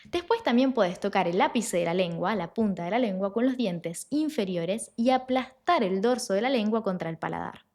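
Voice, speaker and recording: A woman teaches pronunciation in an online lesson. Her voice sits at an ordinary middle pitch. She explains clearly and patiently, and slows down deliberately whenever she models a sound. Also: expressive, a little mellow. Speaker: Italian language teacher.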